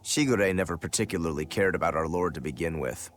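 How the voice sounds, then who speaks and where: A man acting a low-voiced demon swordsman. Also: fast, slight background noise, close to an everyday voice. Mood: somber, regretful. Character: male swordsman turned demon, brooding, self-critical eldest-rival brother. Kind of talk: dubbed video game dialogue.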